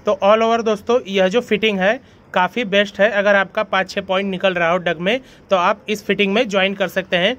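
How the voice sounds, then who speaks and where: A man's voice: high voice, fairly even intonation, brisk, fairly bright tone, highs cut off. A young man hosting a plumbing channel, explaining a pipe fitting in a friendly voice-over and often calling viewers his friends. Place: voice-over over animation.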